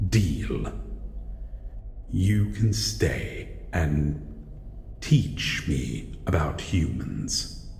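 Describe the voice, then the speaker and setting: Casting: male actor as shadow creature, extremely low voice, formal and guarded yet courteous, audio roleplay, heavy echoing reverb. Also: vocal fry, darkish tone, heavily acted. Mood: wary, considering. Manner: slow, formal, measured, calls listener human. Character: solitary male shadow monster, guarded but courteous, lonely. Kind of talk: scripted audio roleplay addressed to the listener. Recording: echoey, long reverb, faint noise.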